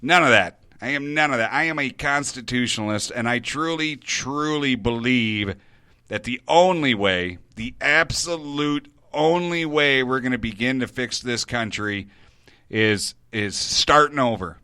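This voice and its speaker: A man's voice: medium pitch, a little slow, bright, forceful tone, smooth, no echo, clean studio sound. A man hosting a radio show, giving a blunt opinion monologue and repeating words for emphasis.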